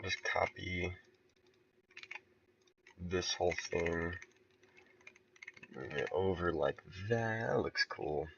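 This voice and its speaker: A man casually talking through his level building on a stream, relaxed and thinking aloud with soft chuckles. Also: deep voice, takes it slowly, vocal fry, long pauses, dull audio, a little hiss or hum. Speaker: game level creator streaming. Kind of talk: casual commentary while building.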